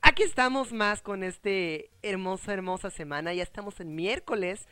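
A man with a Mexican accent hosts a casual gaming chat. His voice is clear and resonant. He talks in an excitable, joking way, often doubling back on his phrases. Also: high voice, moderate pace, dry recording. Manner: jokey, excitable, repeats phrases. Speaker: host of a gaming interview show. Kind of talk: casual chat over gameplay.

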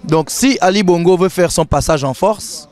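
Man in his 30s, activist giving a firm street statement, smooth and resonant. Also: high-pitched, moderate pace, a little mellow. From Gabon. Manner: firm, insistent, repeats his points. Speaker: activist interviewee. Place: city street at night, outdoors. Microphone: handheld reporter mic.

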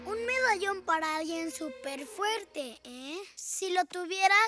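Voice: extremely high pitch, lively intonation, slow, exaggerated voice. An actor voicing an eager, proud little superhero boy in a Latin American Spanish cartoon dub, earnest and exclamatory. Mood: wistful, determined. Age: child figure, about six. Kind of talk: animated children's cartoon dub. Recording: loud music behind the voice.

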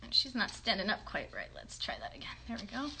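A woman's voice: mid-range voice, wildly swooping pitch, a little fast, noisy background. A woman in her thirties casually hosting a children's craft demonstration, encouraging young viewers with questions. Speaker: children's puppet show host. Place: bedroom at home. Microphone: phone at about arm's length.

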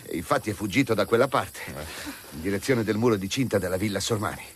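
An actor playing a shrewd, authoritative police commissioner in a radio drama, deliberate and courteous. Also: male voice, lowish voice, a little hiss or hum, close to an everyday voice. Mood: urgent. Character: shrewd, commanding male police commissioner on a case. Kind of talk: Italian radio drama acting.

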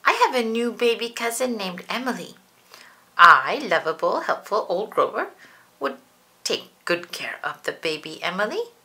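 A woman's voice: mid-range voice, big pitch swings, moderate pace, bright, forceful tone. A woman voicing an eager, boastful little blue male monster in a storybook read-aloud.

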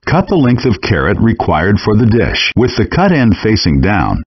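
Guy reading cooking steps in a game, measured and calm, lowish voice, kinda husky, dull lo-fi sound. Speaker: game narrator. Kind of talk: scripted instructional voice-over.

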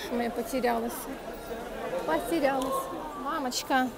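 A woman's voice: high voice, unhurried. A woman vlogging as she walks through an outdoor market, chatting to viewers and haggling with sellers in accented Turkish, filmed on a phone with an earphone mic. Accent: Russian-speaking, speaks Turkish with a foreign accent. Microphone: phone camera with earphone mic. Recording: busy market crowd and noise.

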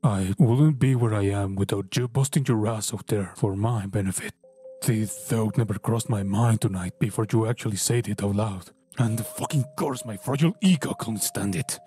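A man playing a massive, gruff male dog fighter who speaks in a halting, apologetic way. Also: fairly low pitch, warm tone, natural voice.

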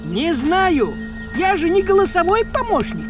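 Cartoon tomcat: thrifty, suspicious, sardonic grumbler, high-pitched. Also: male voice, wildly swooping pitch, measured pace, over loud music, heavily acted. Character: grumpy, thrifty, suspicious grown tomcat running a farm. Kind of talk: animated cartoon acting.

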